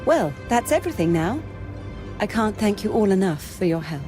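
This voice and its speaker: An actress playing a young, well-bred British lady with an educated English accent, outspoken and expressive, in a video game. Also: mid-range voice, moderate pace, over music, close to an everyday voice. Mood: polite, chatty. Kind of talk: video game dialogue acting.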